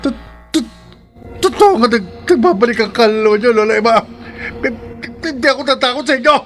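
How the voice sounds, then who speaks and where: An actor playing a defensive, domineering husband in a radio horror drama, with emphatic delivery. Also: male voice, extremely high pitch, lively intonation, brisk, a bit bright, soft background music. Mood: frightened, defiant.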